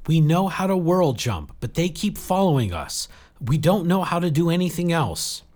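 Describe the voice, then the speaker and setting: A man's voice: high-pitched, sing-song, unhurried, pitched above the performer's own voice. A man voicing a weary, determined woman in a story, direct and urgent in short sentences. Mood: urgent, frustrated. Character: weary, frank, determined woman leading a desperate group.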